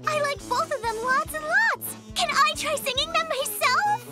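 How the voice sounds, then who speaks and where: A woman's voice: very high-pitched, animated, moderate pace. A performer voicing an eager, chirpy young female fox in a cartoon. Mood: wistful. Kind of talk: cartoon voice acting. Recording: music playing underneath.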